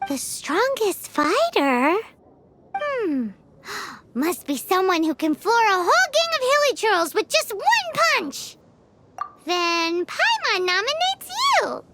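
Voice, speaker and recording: A woman's voice: very high-pitched, big pitch swings, takes it slowly, background noise, heavily acted. A woman voicing a tiny, eager, childlike fairy companion girl in a video game. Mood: curious, musing. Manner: refers to self in third person, eager. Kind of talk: video game character dialogue.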